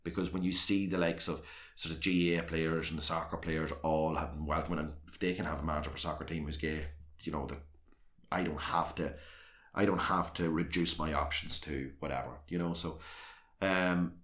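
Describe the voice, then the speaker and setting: A man with a South Armagh accent and a very low, deep voice. He talks openly and rambles, circling back with restarts and fillers. He sounds like an earnest guest in a sit-down conversation. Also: steady tone, a little fast, fairly bright tone. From South Armagh, Northern Ireland. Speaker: guest, councillor and former soccer manager.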